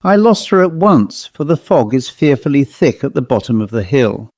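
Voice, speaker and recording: Man reading as a perplexed upper-class gentleman, mellow. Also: medium pitch, big pitch swings, normal speed, dry recording. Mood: brisk. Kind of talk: audiobook character voice.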